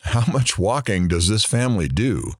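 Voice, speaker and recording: A man's voice: on the low side, expressive, normal speed, no echo. A man playing a self-deprecating, dry-witted morning radio host presenting mock news in an audio drama. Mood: wry, friendly.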